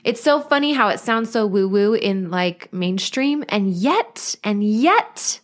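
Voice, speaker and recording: A woman hosts her own podcast in a casual solo monologue. She talks in a bubbly, earnest way, chatty and warm-hearted in her words. Her voice sits at a middle pitch for a woman. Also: animated, slow. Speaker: podcast host and life coach.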